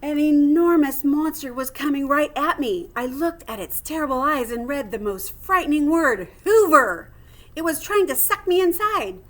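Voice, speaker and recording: A woman reading a children's storybook aloud like a teacher, with theatrical expression and clear phrasing. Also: sits high, expressive, normal speed, hardly any pauses, a bit bright.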